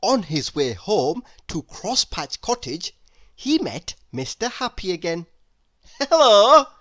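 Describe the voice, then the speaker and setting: A woman reading a children's storybook aloud as an animated narrator. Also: lowish voice, measured pace, no room sound. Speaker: storybook reader.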